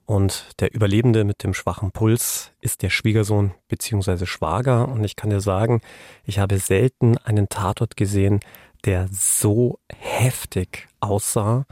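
A man's voice: on the low side, steady tone, unhurried, darkish tone, no room sound, clean studio sound. A man explaining a criminal case conversationally as a podcast expert. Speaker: true-crime podcast expert.

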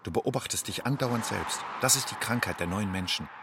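An actor playing a weary, watchful man who lives on the motorway, in a measured, brooding poetic monologue. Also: on the low side, background noise, lightly acted. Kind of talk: poetic second-person monologue.

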